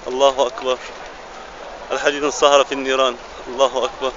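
A man's voice: medium pitch, level tone, fast, dull audio. A man giving eyewitness narration outdoors in short, repeated, solemn declarations. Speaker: amateur cameraman, eyewitness. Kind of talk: eyewitness narration of filmed footage. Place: outdoors in an open field near a large fire. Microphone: handheld phone camera. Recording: noisy outdoor phone recording.

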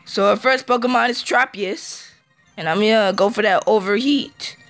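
A man with a hoarse, fading voice giving casual let's-play commentary, halting with ums and throat-clearing, recorded on a phone. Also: high voice, a bit bright, dry recording, faint music behind. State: hoarse, losing his voice.

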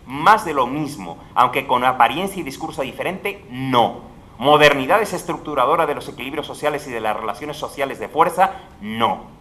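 A middle-aged man reading a formal academic lecture at a panel table mic in a large hall, measured and emphatic, dropping his voice at phrase ends. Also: medium pitch, animated, sharp tone. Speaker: conference speaker. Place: large legislative hall, indoors. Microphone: table microphone at a panel.

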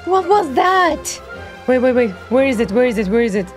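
A young woman giving casual, playful and excitable commentary as she streams a video game. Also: high-pitched, moderate pace. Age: young adult. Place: small indoor home room. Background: game music.

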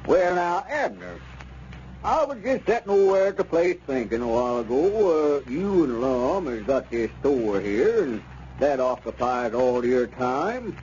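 An actor playing a sly, unctuous small-town squire who talks in a hesitant, roundabout way in radio comedy. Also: male voice, mid-range voice, big pitch swings, unhurried, darkish tone. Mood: wheedling. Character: wheedling small-town squire, sly real-estate dealer. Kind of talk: 1930s radio comedy acting. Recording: dull, noisy old radio recording.

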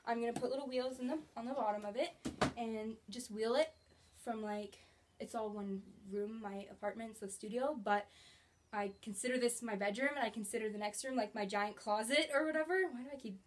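A woman's voice: fairly high pitch, fairly quick. Chatty young woman vlogging at home. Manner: chatty, bubbly, talks with her hands. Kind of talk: casual grocery haul vlog. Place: small studio apartment bedroom, indoors.

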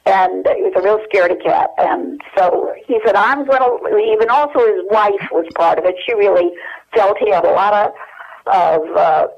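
Over a dull telephone line, an older woman reminisces in a conversational way. Her voice sits at a middle pitch, and she wanders hesitantly through her stories as an interview guest. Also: moderate pace, creaky. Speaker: interview guest sharing family memories.

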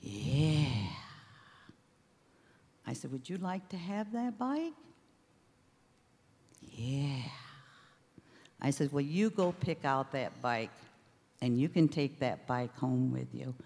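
An older woman speaks slowly at a stand mic on a large theater stage, her voice low for a woman. She tells a heartfelt story and acts out the voices in it. A long hall reverb and a faint crowd sit behind her. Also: a little mellow. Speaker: charity director. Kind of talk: heartfelt speech to an audience.

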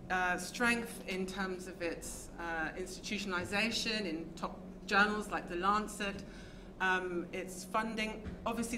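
A woman giving discussant remarks on a lecture stage, speaking hesitantly with many pauses and fillers. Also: medium pitch. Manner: hesitant, many ums, warm thanks. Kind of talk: academic panel remarks. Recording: lecture hall audio with background noise.

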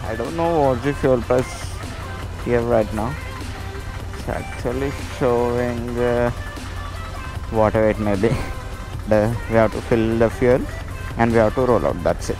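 A man with an Indian accent giving a casual, halting travel vlog narration, often repeating his phrases as he talks. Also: medium pitch, poor audio. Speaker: motovlogger. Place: outdoors on a motorbike road trip. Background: music.

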